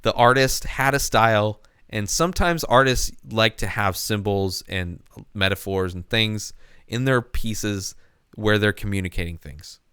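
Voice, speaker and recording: A man hosting a casual comedy podcast, talking in a rambling, halting way with plenty of swearing. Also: lowish voice, bright, forceful tone, clear, resonant tone, no echo, clean studio sound. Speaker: podcast host.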